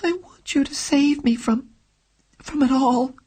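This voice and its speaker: A woman's voice: high-pitched, measured pace, dark tone, voice pushed up. A woman reading an audiobook, voicing a frightened young woman who pleads for help, with a thin, tremulous, faltering voice that slips into whispers. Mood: pleading. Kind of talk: audiobook character voice.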